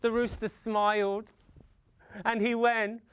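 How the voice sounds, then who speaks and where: A man from the UK telling a folktale theatrically for children, with dramatic pauses, repetition and drawn-out emphasis. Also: sits high, flat delivery, fairly bright tone, clean tone. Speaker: storyteller.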